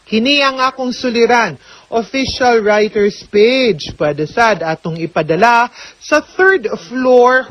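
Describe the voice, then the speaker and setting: A woman's voice: a little high, lo-fi sound. A woman with a Cebuano Filipino accent hosting a radio advice show as an attorney, chattily answering a listener's letter and mixing in English with frequent tag questions.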